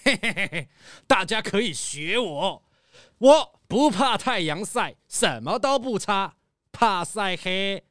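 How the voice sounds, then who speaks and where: A man's voice: high-pitched, lively intonation, takes it slowly, a bit bright, no room sound, studio quality, heavily acted. A man playing a hearty, carefree adventurer in a children's audio drama, with a drawling, boastful delivery.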